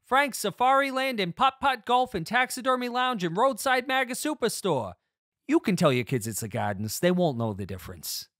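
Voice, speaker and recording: An actor playing a sleazy pitchman for a roadside animal park in a parody radio ad, rambling in folksy salesman patter. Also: very animated, normal speed, a bit bright, dry recording, clean studio sound. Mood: salesy. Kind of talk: parody local radio ad read.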